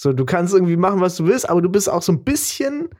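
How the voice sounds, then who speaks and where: A man chatting playfully on a podcast, slangy and quick to laugh. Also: sits high, big pitch swings, a little mellow. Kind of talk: casual chat.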